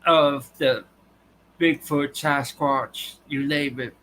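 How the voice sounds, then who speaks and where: Guy on a livestream, slow and halting, voice going dry, a bit high, faint hum behind. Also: steady tone, a bit bright, low-quality recording. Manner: halting, restarts often. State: voice tiring and dry. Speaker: livestream host. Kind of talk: casual live chat with viewers.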